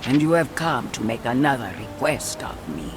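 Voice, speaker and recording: A man's voice: mid-range voice, animated, moderate pace, natural voice. A man playing a stern, cautious temple elder in a video game, speaking formally and with foreboding. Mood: stern, refusing. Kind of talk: dramatic video game acting. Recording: clear music behind the voice.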